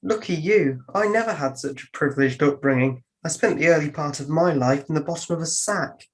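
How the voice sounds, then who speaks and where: A woman's voice: low-pitched, fairly quick, close to an everyday voice. A woman playing an envious, self-regarding puppet in an online puppet show, wistful and a little self-important.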